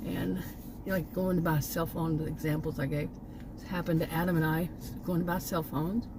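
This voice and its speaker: A fairly low-voiced woman from Texas, speaking with a Texan accent, rambles on in a chatty vlog monologue, stopping to correct herself and filling gaps with "um" and "you know." She is recording outside near a stretch of woods, and steady outdoor noise runs under her voice the whole time. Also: brisk. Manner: rambling, chatty, with frequent fillers and self-corrections. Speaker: channel host.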